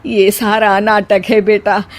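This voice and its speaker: A woman's voice: medium pitch, flat delivery, slow, faint music behind. A woman voicing an exasperated middle-aged mother-in-law in a cartoon, sarcastic and scolding. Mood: complaining.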